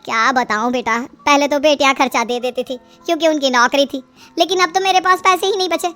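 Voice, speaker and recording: A woman's voice: high-pitched, a little fast, fairly bright tone. A woman voicing a middle-aged mother-in-law in a story, coaxing and plaintive as she schemes. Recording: music behind the voice.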